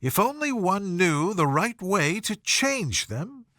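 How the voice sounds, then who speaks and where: A man's voice: sits high, sing-song, slow pace, bright, forceful tone, no room sound, studio quality, pitched above the performer's own voice, full character voice. A man voicing a polite, curious little girl in a storybook, earnest and questioning. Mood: musing. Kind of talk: storybook character voice.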